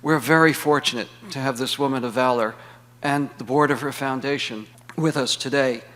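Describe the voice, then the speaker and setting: A man speaks as the host of a formal event, reading a prepared introduction. His voice sits at a medium pitch and carries the long reverb of a hall. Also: steady tone, moderate pace, fairly bright tone. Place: large hall, public event.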